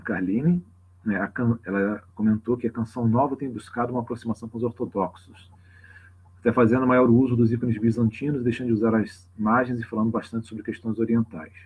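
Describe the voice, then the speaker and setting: Husky Brazilian man explaining informally. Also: lowish voice. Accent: Brazilian Portuguese. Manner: conversational, frequent tag questions. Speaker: religious teacher hosting a live open class. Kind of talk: informal extemporaneous explanation to a livestream audience. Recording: slightly dull lo-fi livestream audio.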